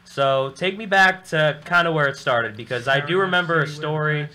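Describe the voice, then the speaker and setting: A man's voice: on the high side, normal speed, bright, forceful tone. A man hosting a casual radio talk show, rambling loosely and swearing often. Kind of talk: casual chat.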